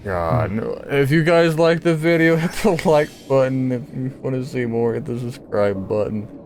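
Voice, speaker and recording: A man giving casual gameplay commentary as a video creator, blunt, sarcastic and quick to swear. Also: medium pitch, expressive, darkish tone, a little hiss or hum.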